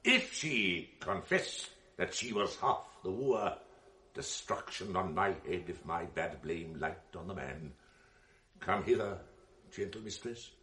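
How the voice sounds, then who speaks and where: A man playing a stern older senator and offended father in a 1980s radio drama, speaking grave, measured verse. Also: deep voice, a bit bright, reverberant, heavily acted.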